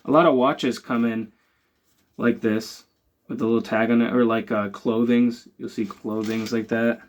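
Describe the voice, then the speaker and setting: A man's voice: mid-range voice, normal speed. A man, chatting casually as he unboxes items for his channel, rambling with lots of fillers. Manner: rambling, filler-heavy, relaxed. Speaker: channel host.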